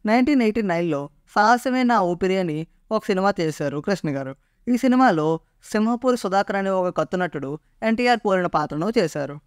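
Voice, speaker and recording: A man's voice: high-pitched, clean tone, no echo, studio quality. A man presenting a scripted explainer as a video narrator.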